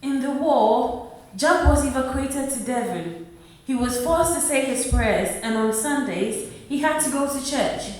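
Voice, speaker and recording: High-voiced, echoey actress as earnest young mother. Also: a little slow. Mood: troubled. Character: hard-pressed, devout young mother, earnest and pleading. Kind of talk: radio play acting. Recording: very echoey room, distant from the mic.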